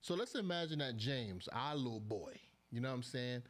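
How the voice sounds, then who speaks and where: A man's voice: mid-range voice, expressive, moderate pace, a little hiss or hum. A young man hosting a podcast, chatting casually and joking with his guests, laughing often and using a lot of slang. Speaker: podcast host.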